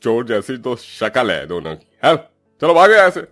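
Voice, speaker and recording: A man's voice: medium pitch, wildly swooping pitch, normal speed, a bit bright, soft background music. An actor playing a scornful, brusque, hard-hearted man who dismisses people in a cartoon story. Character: gruff, dismissive, hard-hearted man scolding poor girls.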